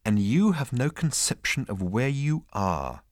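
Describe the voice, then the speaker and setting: A man's voice: mid-range voice, big pitch swings, a little slow, no echo, voice pushed up. A man playing an exasperated, sarcastic galactic traveller in a comic storybook, given to incredulous outbursts. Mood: insistent. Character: exasperated, sarcastic male galactic traveller losing his temper.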